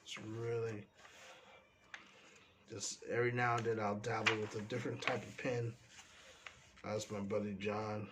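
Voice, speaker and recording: A man, stuffy from a cold, casually talking through the pages of his sketchbook in a relaxed, meandering way. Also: medium pitch. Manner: relaxed, meandering, trails off often. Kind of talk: casual rambling commentary.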